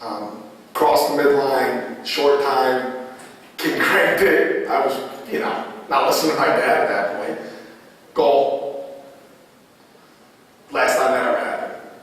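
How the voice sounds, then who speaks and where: A man with a raspy voice giving a lacrosse goalie coaching clinic, repeating key phrases as he demonstrates. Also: mid-range voice, slow, pauses often, fairly bright tone. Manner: repetitive, drilling phrases, demonstrative. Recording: echoey hall from far off.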